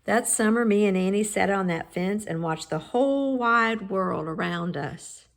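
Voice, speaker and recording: Slow, measured woman reading a storybook. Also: mid-range voice, creaky. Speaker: storybook reader. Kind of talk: children's picture book read-aloud.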